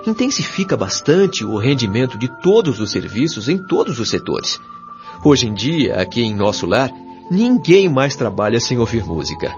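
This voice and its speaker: Man acting a kindly Brazilian mentor, expressive intonation. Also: medium pitch, lightly acted. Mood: friendly, patient. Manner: measured, didactic, carefully enunciated. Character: Lísias, kindly patient male spirit guide and mentor. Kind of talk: audio drama acting, explanatory. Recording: dull lo-fi audio, music behind.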